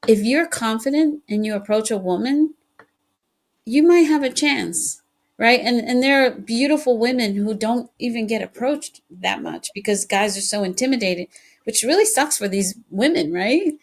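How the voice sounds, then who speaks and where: A woman's voice: on the high side, expressive, normal speed. A woman hosting a podcast interview in a casual, agreeable way, Spanish her first language. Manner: chatty, agreeing often. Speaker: podcast host.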